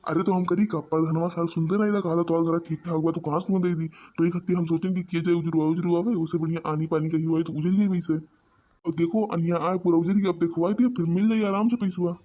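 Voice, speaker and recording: Guy doing a scheming village husband, awadhi accent, grumbly, kinda monotone and soft-edged, cartoon comedy. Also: on the high side, quick pace, close to an everyday voice. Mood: stubborn explaining.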